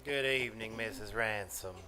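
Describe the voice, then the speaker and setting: An actor playing a mild, folksy older druggist in 1940s-style radio comedy, hesitant and deadpan. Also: male voice, lowish voice, close to an everyday voice. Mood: mild, polite. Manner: halting, deadpan, mild-mannered. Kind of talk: 1940s radio comedy acting before a live audience. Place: small-town theater stage. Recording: noisy live stage recording.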